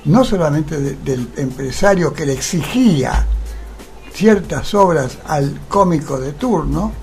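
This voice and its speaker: A man's voice: a little high, animated, unhurried, creaky, a little mellow, soft background music. An Argentine man, an actor interviewed on radio, explaining conversationally with rhetorical questions and tags. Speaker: interview guest, stage actor.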